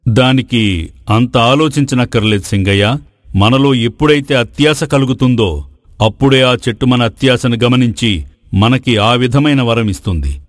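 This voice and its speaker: A man's voice: mid-range voice, moderate pace, no room sound, studio quality, natural voice. A man playing a wise, paternal king in a moral story, explaining things with familiar authority. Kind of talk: Telugu moral story voice acting.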